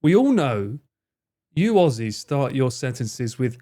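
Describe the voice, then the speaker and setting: Lively, theatrical man chatting on stream. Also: mid-range voice, slow. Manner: joking, theatrical, swears freely, slips into mock voices. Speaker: streamer and cameraman. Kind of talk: casual live-stream chat with viewers.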